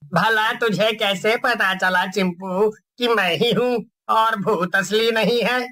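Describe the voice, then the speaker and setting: A man's voice: sits high, steady tone, takes it slowly, bright, forceful tone, heavily acted. A man playing a scheming village prankster who poses as a ghost, theatrical and blustering, in a cartoon story. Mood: worried.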